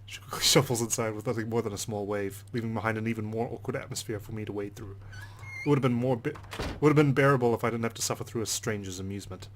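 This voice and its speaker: Fast-talking man with a low voice. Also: slight background noise. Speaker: let's play narrator. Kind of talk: reading a visual novel aloud in character.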